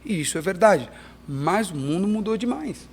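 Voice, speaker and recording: A middle-aged missionary pastor, talking animatedly as a guest in an interview, with frequent restarts. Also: male voice, high voice, moderate pace, creaky, slight background noise. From Peru and Brazil. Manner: animated, emphatic, restarts often. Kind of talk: conversational interview.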